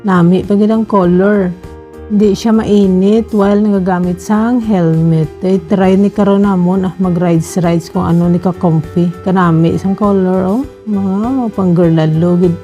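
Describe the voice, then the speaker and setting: A young woman vlogger walks through a casual product review, taking her time with easy pauses and fillers. Her voice sits a little low and sounds mellow and relaxed. Faint music plays behind her. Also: dull audio. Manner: unhurried, chatty, with many pauses and fillers.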